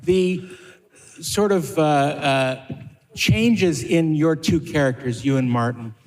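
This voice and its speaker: A man hosting a live panel, putting questions to guests in halting phrases. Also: fairly high pitch, a little mellow. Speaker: panel host. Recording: reverberant hall.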